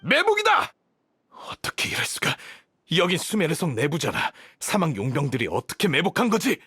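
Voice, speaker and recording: Husky, gruff cornered hunter. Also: male voice, fairly high pitch, huge pitch swings, normal speed, pushed and bright, dry recording, heavily acted. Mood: shocked. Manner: suspicious, confrontational. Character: gruff, alarmed hunter caught in an ambush. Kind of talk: dramatic Korean game voice acting.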